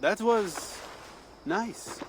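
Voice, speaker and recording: A man's voice: high voice, very slow, slight background noise. An actor playing a playful, pleasure-loving Frankish man in a video game, with a teasing, suave and theatrical manner. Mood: coy, pleased. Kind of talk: dramatic video game cutscene acting. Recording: music under the voice.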